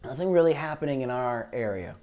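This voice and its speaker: A man with a tired, low voice after heavy talking, hosting a live weather stream in a chatty, rambling way, talking into a lavalier mic. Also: animated, fairly quick, darkish tone. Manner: chatty, rambling, unsure asides. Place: green screen studio, indoors. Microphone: lavalier clip mic.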